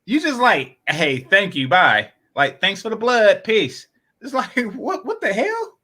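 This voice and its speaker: A man talking in a casual podcast chat, rambling with many fillers and restarts, often dropping into low, quiet asides. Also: big pitch swings, measured pace.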